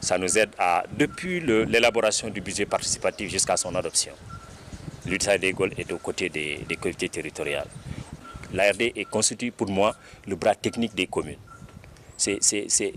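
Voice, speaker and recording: A man's voice: lowish voice, a little fast, fairly bright tone, slight background noise. A man with a Senegalese French accent speaking emphatically, like an orator, in a press interview.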